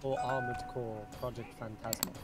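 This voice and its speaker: A man's voice: mid-range voice, fairly even intonation, moderate pace, loud music behind the voice. A young man with an English accent, hosting a gaming stream and chatting casually, rambling and swearing with a self-mocking streak. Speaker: game streamer host. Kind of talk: casual voice chat while gaming. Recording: loud background noise.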